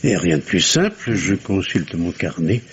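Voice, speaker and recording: A composed doctor answering police questions, measured and a little defensive. His voice is fairly low, and the sound is dull and lo-fi with faint hiss, like an old radio drama. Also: big pitch swings. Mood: guarded. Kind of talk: 1950s radio drama acting. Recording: dull lo-fi archive radio recording.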